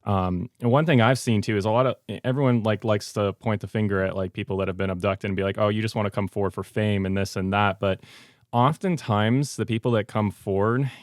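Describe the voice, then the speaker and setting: A man's voice: lowish voice, fairly even intonation, a little fast, no room sound, studio quality. A man hosting a podcast interview, talking in an earnest, conversational way as he circles around to his questions. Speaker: podcast host.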